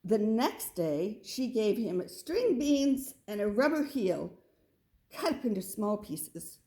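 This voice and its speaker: Slow, mellow woman reading a storybook. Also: medium pitch, very animated. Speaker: storybook reader. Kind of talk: children's story read-aloud narration.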